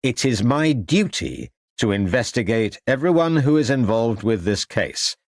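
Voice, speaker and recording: A man's voice: medium pitch, big pitch swings, a little slow, no room sound, clean studio sound. A man voicing a polite, dutiful police detective in an audiobook, formal and restrained. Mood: dutiful, unsettled. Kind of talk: audiobook character acting.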